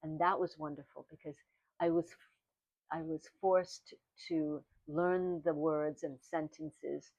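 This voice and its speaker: An older woman whose first language is Armenian, giving oral history testimony in a reflective, halting way, often restarting her sentences. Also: sits low, steady tone, a little mellow, no echo. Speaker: interviewee. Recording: dry, dull lo-fi recording.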